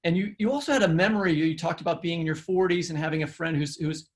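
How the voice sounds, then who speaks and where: A man co-hosting a literary podcast, chatting in a halting way and repeating words as he forms his thoughts. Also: a little high. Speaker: podcast co-host. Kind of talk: conversational literary interview.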